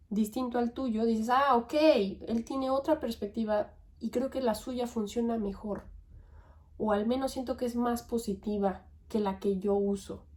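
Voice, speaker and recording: A young woman from Mexico with a Mexican accent, hosting a podcast and thinking aloud in a relaxed, conversational way with tag questions and fillers. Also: a little high. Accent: Mexican Spanish. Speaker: podcast host. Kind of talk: reflective monologue.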